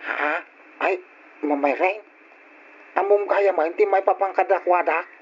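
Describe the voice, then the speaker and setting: An actor playing a deferential, hesitant household servant in an Ilocano radio drama. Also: male voice, high-pitched, unhurried. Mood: nervous deference. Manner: respectful, hesitant. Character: deferential, nervous male household servant Crispin. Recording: dull, noisy old radio recording.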